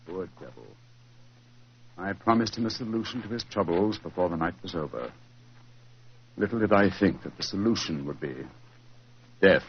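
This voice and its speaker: A man playing a brisk, commanding English detective in a 1940s radio drama, speaking in a clipped, decisive way. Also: deep voice, husky. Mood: grim, regretful. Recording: muffled, noisy old 1940s radio recording.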